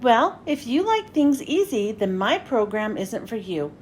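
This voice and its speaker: A woman's voice: on the high side, sing-song, measured pace, darkish tone, slight background noise. A woman voicing a self-assured male robotics professor from a storybook, formal and challenging.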